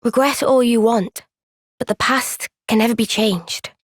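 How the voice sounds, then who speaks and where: A soft-spoken young woman soldier from a game's cutscenes with a Welsh accent. Her voice sits a little high and she takes her time, caring and thoughtful. The studio sound is close and dry. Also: a little slow, no room sound. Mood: gentle. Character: young woman soldier, gentle, kind and steady. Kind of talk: video game cutscene dialogue. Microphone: close studio microphone.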